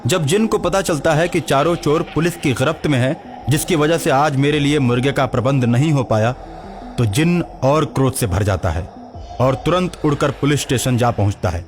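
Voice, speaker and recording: A man's voice: mid-range voice, animated, normal speed. A man narrating a scripted cartoon story as the storyteller. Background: background music.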